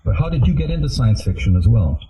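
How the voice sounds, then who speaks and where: An older man hosting a conversational interview, with halting, hesitant phrasing. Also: mid-range voice, very animated, mellow. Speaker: interviewer, host. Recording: dull lo-fi audio.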